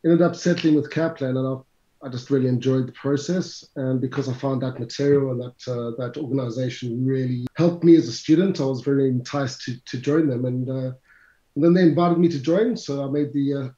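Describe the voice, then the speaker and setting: A man's voice: medium pitch, steady tone, fairly quick, dark tone. A middle-aged South African man, a finance instructor, answering interview questions in a chatty, lecturing way with asides to the audience. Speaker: CFA instructor, guest. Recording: roomy, slightly dull sound.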